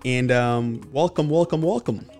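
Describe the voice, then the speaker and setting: A man's voice: medium pitch, measured pace, a little mellow, soft background music. A man from the Congo hosting a podcast, speaking earnestly with frequent fillers and restarts. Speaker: podcast host. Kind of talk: casual podcast monologue.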